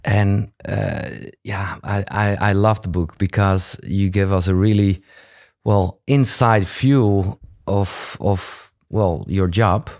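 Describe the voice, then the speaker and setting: A man's voice: deep voice, fairly even intonation, takes it slowly, a little mellow. A Dutch man with an accent hosting an interview in English and Dutch, hesitant with many fillers and restarts. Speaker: podcast host. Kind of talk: casual interview.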